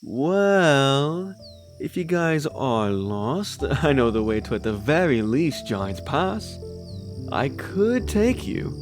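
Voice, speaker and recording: A man acts out a charming demon bard with a lively, swooping voice. He is playful, flirtatious and theatrical, breezily showing off in an audio drama with music clearly behind him. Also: medium pitch, slow pace. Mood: gentle, charming.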